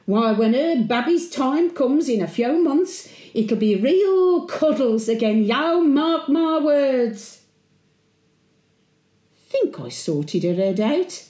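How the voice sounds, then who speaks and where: An actress playing a chatty, sentimental Birmingham widow of over a hundred in a homely, confiding monologue. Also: female voice, high voice, big pitch swings, slow, warm tone, roomy sound, exaggerated voice. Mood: firm, fond. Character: 101-year-old widowed Brummie great-grandmother, chatty, sentimental, plain-spoken. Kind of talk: dramatic monologue, intimate storytelling.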